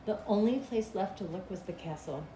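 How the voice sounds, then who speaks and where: A middle-aged woman reading a children's storybook aloud in an expressive, deliberate storytelling manner. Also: medium pitch. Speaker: school principal reading aloud. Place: small cinderblock school room, indoors. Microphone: camera a couple of meters away. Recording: echoey room with clear background noise.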